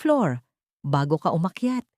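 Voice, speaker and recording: A woman's voice: deep voice, sing-song, measured pace, a little mellow, no room sound, studio quality. A woman voicing a scheming, smug young mistress with a condescending manner in an audio drama. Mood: smugly triumphant. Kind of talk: audio drama character dialogue.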